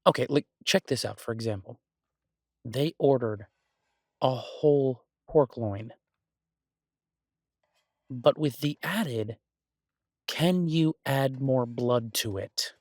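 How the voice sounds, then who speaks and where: A man's voice: mid-range voice, slow pace, pauses often, no room sound, studio quality. A man playing an exasperated yet affectionate chef boyfriend in a roleplay audio, ranting incredulously and conversationally to his partner. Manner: ranting, incredulous, emphatic with repeated words. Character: exasperated but affectionate human boyfriend, restaurant chef for supernatural guests.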